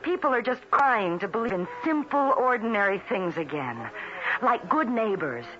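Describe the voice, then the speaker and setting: An actress playing an idealistic, feisty young woman reporter in a 1970s radio drama, earnest and quick-witted. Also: fairly high pitch, big pitch swings, background noise. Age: young adult. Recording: muffled vintage radio broadcast, music behind.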